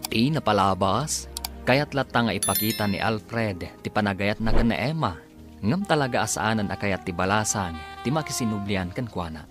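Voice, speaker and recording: A man's voice: on the low side, lively intonation, moderate pace, over music. A man narrating and announcing a radio serial in a dramatic style.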